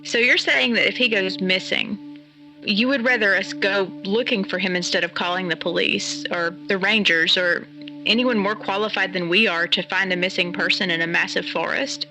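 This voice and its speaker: A woman's voice: mid-range voice, steady tone, normal speed, sharp tone, faint music behind, rough recording. A woman playing a pragmatic, skeptical character in an audio drama, blunt and sardonic in a naturalistic way.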